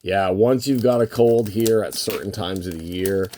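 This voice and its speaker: A man with a voice worn hoarse by a cold, hosting a casual, unscripted card box opening and rambling as he thinks aloud. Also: low voice, moderate pace, warm tone, a little hiss or hum. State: losing his voice from a lingering cold. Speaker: host of a trading card box-break channel.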